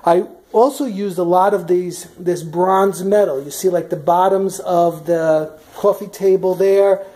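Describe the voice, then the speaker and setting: A woman's voice: fairly low pitch, steady tone, unhurried, dark tone. Chatty woman touring her home. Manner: chatty, many fillers and restarts. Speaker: vlogger giving a house tour. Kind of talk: casual walk-through narration. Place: in a large furnished house, walking room to room. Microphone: handheld camera, speaker off screen.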